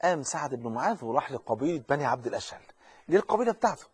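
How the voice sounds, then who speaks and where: A man's voice: mid-range voice, very animated, rapid-fire, slightly rough, dry recording. A man with an Egyptian accent preaching a religious lesson, fervent and urgent, speaking straight to the listener and often repeating or restarting phrases. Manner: frequent repetitions and restarts.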